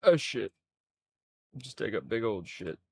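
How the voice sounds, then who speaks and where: Young man streaming, lowish voice, unhurried drawl, crude rambling chat, dry close sound. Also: very animated, vocal fry, darkish tone, husky, no room sound. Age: 20s. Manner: rambling, crude and self-mocking. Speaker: livestreamer. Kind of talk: casual livestream chat.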